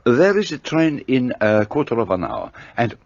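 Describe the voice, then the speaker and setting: An actor playing a precise, courteous middle-aged detective in a radio play. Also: male voice, medium pitch, sing-song, moderate pace, vocal fry, darkish tone, dry recording. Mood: inquiring. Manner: courteous, precise, slightly formal foreign phrasing. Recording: dull lo-fi sound.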